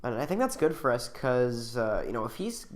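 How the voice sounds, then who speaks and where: A man with a lively, up-and-down voice talks through a card game as he plays, thinking aloud with plenty of ums and restarts. He is getting over a cold and sounds a little sniffly. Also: medium pitch, moderate pace. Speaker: channel host. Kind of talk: casual play-by-play card game commentary.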